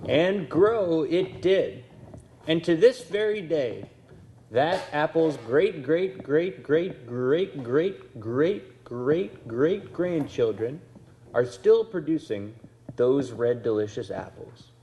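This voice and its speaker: A man narrating a puppet show as storyteller, with drawn-out emphasis. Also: fairly high pitch, animated, a little hiss or hum. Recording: echoey room with loud guitar music.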